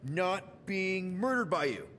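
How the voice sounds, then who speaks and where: An actor playing an upbeat, awkward young leader of a space crew in a video game, talking in a hesitant, joking, rambling way. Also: male voice, high-pitched, very animated, slow, a bit bright, a little hiss or hum, heavily acted. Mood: sheepish, upbeat. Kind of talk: naturalistic video game acting.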